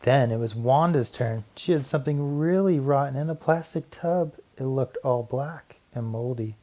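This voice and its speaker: A man reading a children's story aloud in an animated, expressive storytelling manner. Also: mid-range voice, moderate pace. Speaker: audiobook reader. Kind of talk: children's story read-aloud with character voices.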